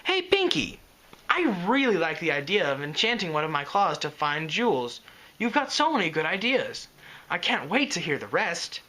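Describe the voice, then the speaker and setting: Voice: medium pitch, sing-song, unhurried, pushed and bright, voice pushed up, exaggerated voice. A reader voicing an earnest, eager young dragon boy. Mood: enthusiastic.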